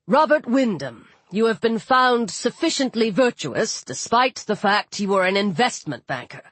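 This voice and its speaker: A woman's voice: medium pitch, big pitch swings, moderate pace, fairly bright tone, no room sound. A woman voicing a weary, dignified father who rules the underworld, speaking in a firm, dry, formal way. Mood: dry, solemn.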